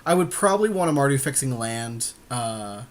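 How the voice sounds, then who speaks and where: A man's voice: medium pitch, expressive, moderate pace. A man co-hosting a podcast, chatting informally and agreeably while playing a card game. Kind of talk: casual chat while drafting cards.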